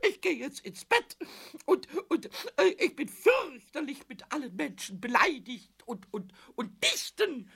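A squeaky whiny little kobold. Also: male voice, big pitch swings, takes it slowly, creaky, a bit bright, exaggerated voice. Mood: sulky. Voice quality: high squeaky voice, stammering and whiny. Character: cheeky little male kobold, childlike and sulky. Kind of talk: 1970s radio play acting.

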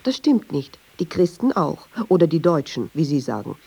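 Low, gravelly, reflective woman. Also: sing-song, moderate pace, dark tone, exaggerated voice. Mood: questioning, wry. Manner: plain, reflective storytelling in short clauses. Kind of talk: radio drama dialogue and inner monologue.

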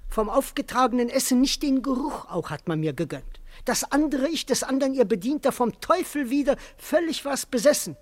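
Casting: cowardly comic manservant, very high voice, swooping intonation, over-the-top grovelling for radio theatre. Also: male voice, lively intonation, normal speed. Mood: aggrieved. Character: comic, grovelling, hungry servant, cheeky and cowardly. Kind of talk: classical German verse radio drama.

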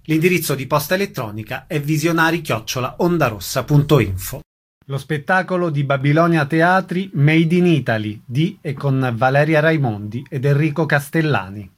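A man's voice: a little high, normal speed. A man reading a scripted announcement as a radio show's announcer.

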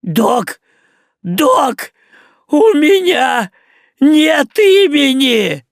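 A man voicing a cheeky, hyperactive little male fly creature in an audiobook, shrill and pushy. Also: lively intonation, takes it slowly, creaky, no room sound, studio quality, full character voice. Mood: frantic.

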